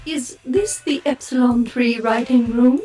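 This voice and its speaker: A woman acting a cold, commanding figure in an audio drama, speaking deliberately. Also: on the high side, warm tone, soft background music, lightly acted, low-quality recording.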